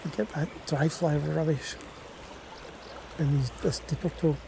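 A man with a slightly high, creaky voice gives casual first-person fishing commentary at a slow pace. He murmurs along and breaks into exclamations. It is recorded on an action camera outdoors, with clear river noise around him. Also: fairly even intonation. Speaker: angler and vlogger. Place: outdoors, wading in a small river. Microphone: body-worn action camera. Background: running river water.

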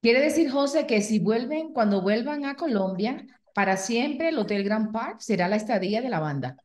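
A woman's voice: a little high, lively intonation, moderate pace, darkish tone. A middle-aged woman from the Dominican Republic hosting an interview over a video call, speaking with effusive praise. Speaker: interviewer host. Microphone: webcam on video call.

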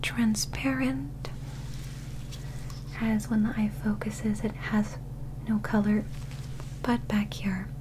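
A young woman doing a soft-spoken ASMR medical roleplay in a breathy whisper, with gentle, measured, clinical instructions. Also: mid-range voice, steady tone, background noise. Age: young adult. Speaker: ASMR performer playing an alien medical examiner. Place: small indoor set.

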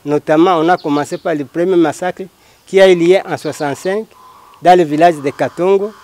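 A middle-aged man with a Congolese French accent explains things formally as an interview guest. His pitch is somewhat high for a man and his phrasing is deliberate. The sound is dry, picked up outdoors on a handheld microphone. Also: darkish tone, dry recording. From Democratic Republic of the Congo. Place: outdoors by a house wall. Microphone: handheld microphone held by interviewer.

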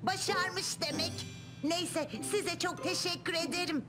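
A woman's voice: very high voice, steady tone, a little slow. A woman voicing a polite, befuddled old lady cat with a prim, matter-of-fact manner in a cartoon. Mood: bewildered. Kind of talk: cartoon dubbing. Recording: music behind.

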